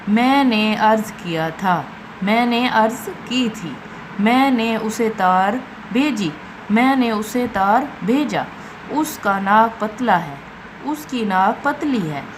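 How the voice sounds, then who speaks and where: A Pakistani woman gives a grammar lesson over clear background noise. She speaks slowly and deliberately at a medium pitch, reading short example sentences and repeating them to instruct students. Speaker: Urdu grammar teacher. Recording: loud background noise, amateur recording.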